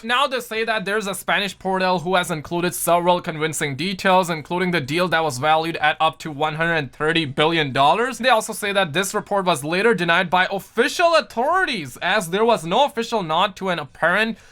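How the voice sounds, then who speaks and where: A man hosting a gaming news show, giving casual, slangy commentary in an animated, informal way and speaking straight to viewers. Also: sits high, a little fast, few pauses.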